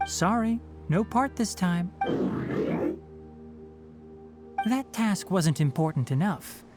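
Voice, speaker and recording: A man's voice: high-pitched, animated, a little slow, loud music behind the voice. A man playing a young, bookish forest ranger in a video game, with a light youthful voice and precise, instructive manner. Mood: calm, explaining. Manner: matter-of-fact, instructive, precise diction. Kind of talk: video game character acting.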